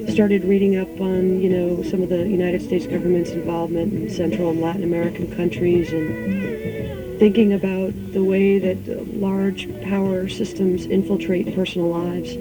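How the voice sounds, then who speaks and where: A woman musician being interviewed, speaking reflectively and pausing now and then to find the right words. Her voice sits in a middle pitch. Music is clearly audible behind her. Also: rough recording. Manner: thoughtful, searching for words. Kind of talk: reflective conversational answers.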